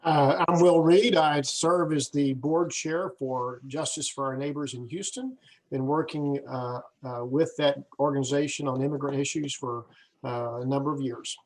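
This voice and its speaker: A man introduces himself as a board chair. His voice is middle-pitched and his delivery is halting. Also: steady tone, rough recording. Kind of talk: self-introduction.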